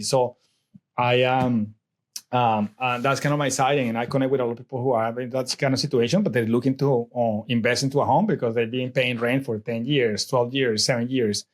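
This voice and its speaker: A middle-aged man from Colombia with a Colombian Spanish accent, a native Spanish speaker talking as an interview guest in a rambling way with many restarts and fillers. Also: medium pitch. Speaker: guest, real estate investor. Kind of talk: casual interview chat.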